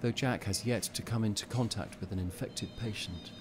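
A man's voice: on the low side, normal speed, background noise, natural voice. A man acting as the grave narrator of a scripted audio drama. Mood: grave, measured. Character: grave storyteller of a virus outbreak drama.

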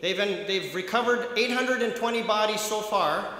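A man's voice: sits high, normal speed. A man giving a mock news bulletin on stage in a church hall, at a stand microphone. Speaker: radio show newsreader and band member. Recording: very echoey hall, faint noise.

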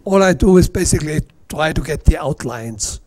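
A middle-aged man with an Austrian accent giving a casual, halting workshop demonstration, with self-deprecating asides. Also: a little high, lively intonation, darkish tone, slight background noise. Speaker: woodturning demonstrator. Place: club meeting room.

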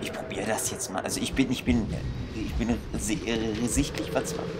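A man's voice: lowish voice, steady tone, moderate pace, background noise. A young man thinking aloud in casual, exasperated commentary as he plays a video game. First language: German. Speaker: gaming video host. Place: indoor room at a computer. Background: game music.

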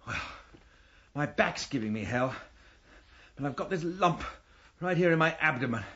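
A man's voice: fairly high pitch, expressive, normal speed, sharp tone, husky, lo-fi sound, full character voice. An actor playing a flustered, polite middle-aged English man in a radio drama, hesitant and proper. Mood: embarrassed, sore. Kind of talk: BBC radio drama acting.

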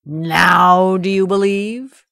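A woman voicing a fearsome male ogre who growls slowly and loudly, in a storybook reading. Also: medium pitch, fairly even intonation, gravelly, no echo, clean studio sound, exaggerated voice. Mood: menacing. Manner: slow, loud growl.

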